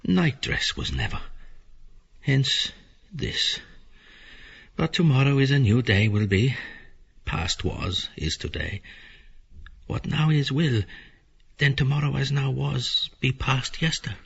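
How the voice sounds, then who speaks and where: A man playing a weary, hesitant middle-aged muser in an audiobook stage play, halting and reflective, with a husky, gravelly voice. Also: mid-range voice, very animated, highs cut off, full character voice. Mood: uncertain musing. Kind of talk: theatrical audiobook acting of a surreal stage play.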